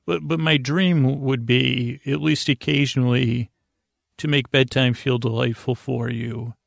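Male sleep-podcast host, creaky fry, dry close sound, halting and meandering delivery. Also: mid-range voice, steady tone, dry recording. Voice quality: creaky, gravelly. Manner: meandering, halting, trailing off mid-thought. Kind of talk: rambling bedtime sleep podcast monologue.

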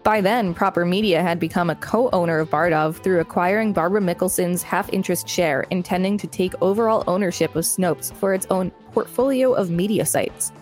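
A woman's voice: on the low side, brisk, few pauses, soft background music. A woman co-hosting a podcast, reading an article aloud and adding informal, conversational comments. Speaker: podcast co-host.

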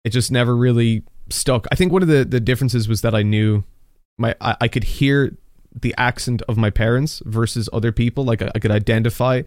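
A man's voice: medium pitch, brisk, a little mellow, dry recording, studio quality. A young man from Ireland, an esports caster with a mixed, neutral accent, chatting casually and self-deprecatingly in an interview, often restarting his sentences. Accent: mixed, neutral Irish-American.

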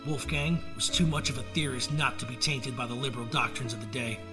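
Male storyteller, medium pitch, measured dramatic gothic reading with a clear music score. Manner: measured, theatrical. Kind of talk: dramatic narration of a gothic tale.

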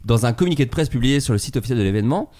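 A man's voice: medium pitch, rapid-fire. A man hosting a casual comedy podcast in French, joking and laughing often. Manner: lively, jokey, laughs often. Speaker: podcast host.

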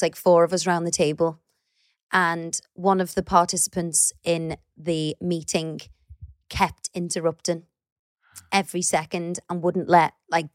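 A woman's voice: lowish voice, dry recording. Chatty Scouse woman, dry close sound. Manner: chatty, animated storyteller who swears casually. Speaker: podcast co-host. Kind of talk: casual chat.

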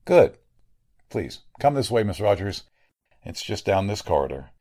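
A man's voice: fairly low pitch, big pitch swings, measured pace, dark tone, a little hiss or hum. A man acting a polite, evasive, grave morgue doctor in a 1940s-style radio drama, hesitant and formal. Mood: courteous. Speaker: actor in an audio drama.

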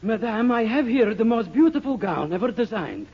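An actor putting on a flamboyant, grandiose Russian dress designer with a mock Russian accent, courtly and theatrical, in 1940s radio comedy style. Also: male voice, sits high, sing-song, normal speed, slightly rough, heavily acted. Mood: grandiose, flattering. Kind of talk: 1940s radio sitcom acting. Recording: muffled, noisy 1940s radio recording.

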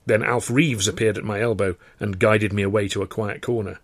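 A man's voice: on the low side, steady tone, brisk, dry recording. A man reading a first-person novel aloud as scripted audiobook narration. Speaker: author reading his own novel, narrator.

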